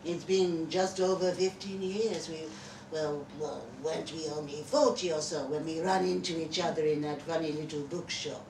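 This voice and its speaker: A woman reading a story aloud as a courteous, sentimental Frenchman in his fifties, halting and earnest. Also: sits low, fairly even intonation. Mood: wistful. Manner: halting, earnest, self-conscious. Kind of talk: live short story reading. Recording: echoey venue, far from mic, noisy.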